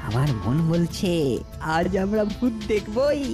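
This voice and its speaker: Woman voicing a bossy, animated older boy. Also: mid-range voice, a little slow, over music. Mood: excited. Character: confident older boy leading young ghost hunters. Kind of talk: cartoon dialogue.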